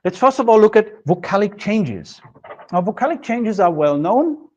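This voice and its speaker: A middle-aged man lectures in a classroom, explaining points step by step as he writes on a board. His voice sits a little high for a man. The sound is dull and lo-fi, picked up by a camera across the room. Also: sing-song. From Germany. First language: German. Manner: measured, explanatory, writes on a board while talking. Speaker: linguistics lecturer. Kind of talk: recorded university lecture. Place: classroom with a projection screen.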